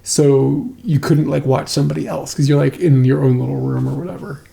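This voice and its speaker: Man, slight lisp, dark mellow tone, fast musing reaction chat. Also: mid-range voice, little pitch movement, creaky. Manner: musing, self-questioning. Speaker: co-host reacting to old footage.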